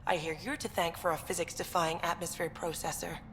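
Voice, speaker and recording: A woman's voice: on the low side, level tone, brisk, a little hiss or hum, natural voice. A woman playing a confident, upbeat research scientist at a colony in a video game, speaking informally and earnestly. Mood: brisk, confident. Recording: long reverb, music behind.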